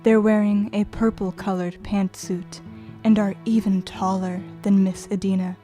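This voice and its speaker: A woman playing the narrator of a dating game in an audio drama, reading scripted narration in a deliberate, theatrical way. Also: mid-range voice, little pitch movement, dark tone, natural voice. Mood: coolly dramatic. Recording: music under the voice.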